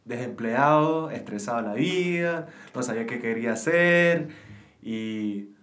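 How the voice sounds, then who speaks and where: A man's voice: mid-range voice, expressive, unhurried, fairly bright tone, roomy sound. A young man from Bolivia with a Santa Cruz camba accent, chatting casually and teasingly as a podcast guest. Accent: Bolivian Spanish, Santa Cruz (camba). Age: young adult. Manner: laid-back, teasing, reflective. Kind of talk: casual chat.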